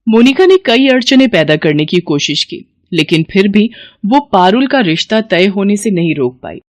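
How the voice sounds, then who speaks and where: A woman reading a scripted moral story as its narrator. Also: medium pitch.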